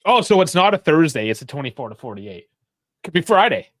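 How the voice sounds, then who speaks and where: A man's voice: fairly high pitch, sing-song, a little fast, a bit bright, no echo, clean studio sound. A man co-hosting a sports podcast, teasing the others with sarcastic remarks. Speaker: podcast co-host. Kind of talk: casual sports podcast banter.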